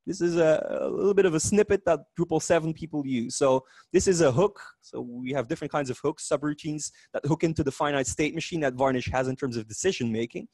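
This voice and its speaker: A man in his forties with a Dutch accent, a native Dutch speaker, giving a technical meetup talk in a lively, joking way with frequent restarts. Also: a little high, quick pace, few pauses, dry recording. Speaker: conference speaker. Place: meetup room.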